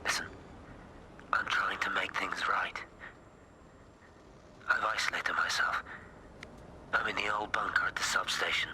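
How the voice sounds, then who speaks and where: A man's voice: deep voice, little pitch movement, unhurried, long pauses, bright, forceful tone, whispered, exaggerated voice, low-quality recording. An actor playing an earnest, troubled British man with a hesitant manner in a naturalistic video game drama. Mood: grave, desperate.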